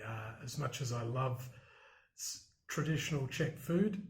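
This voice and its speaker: A man answering interview questions in a measured, reflective way, pausing with frequent ums and restarts. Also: mid-range voice, darkish tone, roomy sound, a little hiss or hum, low-quality recording. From Australia. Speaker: interviewee, broadcast journalist.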